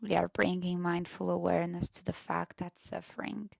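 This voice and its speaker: A woman leading a guided meditation in a gentle, soft-spoken way, often slipping into near-whisper with pauses. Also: lowish voice, flat delivery, gravelly, no echo, clean studio sound.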